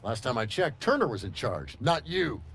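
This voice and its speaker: An actor playing a stern, hard-driving army colonel in a cinematic war game, with a commanding, oratorical delivery. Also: male voice, mid-range voice, very animated, moderate pace, vocal fry, a bit bright, a little hiss or hum. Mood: angry, reprimanding. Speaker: military commanding officer.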